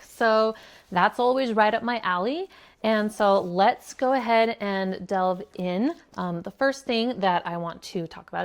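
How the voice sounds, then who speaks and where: A woman hosting a casual book review, talking chattily and with enthusiasm, full of fillers and restarts. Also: medium pitch.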